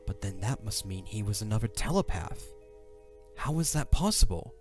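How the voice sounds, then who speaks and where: Male narrator, deep register, scripted dramatic storytelling, light music underneath. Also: lively intonation, moderate pace. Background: soft music.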